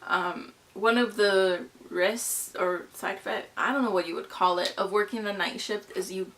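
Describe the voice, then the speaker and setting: A woman's voice: medium pitch, moderate pace, fairly bright tone. A woman in her twenties from Mexico, chatting casually and gushing in a vlog, full of "like" and "you know". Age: 20s. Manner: bubbly and gushing, lots of fillers like "you know" and "like". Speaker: vlogger.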